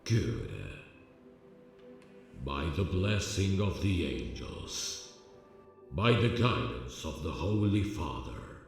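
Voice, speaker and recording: A man playing a benevolent holy lord in an audio drama, with grave, deliberate, ceremonial phrasing. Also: sits low, lively intonation, creaky, exaggerated voice. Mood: solemn. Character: solemn, benevolent male holy lord bestowing his power. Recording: distant cavernous reverb, faint music.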